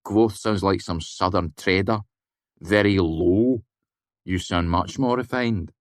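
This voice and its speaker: Guy doing a serious foreign student in an audiobook, deadpan, few words, dry humour, dry sound. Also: deep voice, no room sound, voice pushed up. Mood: dry appraisal. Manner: deadpan, terse, slow. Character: grave, dry-witted foreign male student friend.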